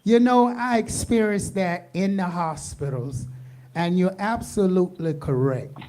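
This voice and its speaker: A woman's voice: lowish voice, a little slow, dark tone. An American woman hosting a radio talk show, talking emphatically and asking rhetorical questions.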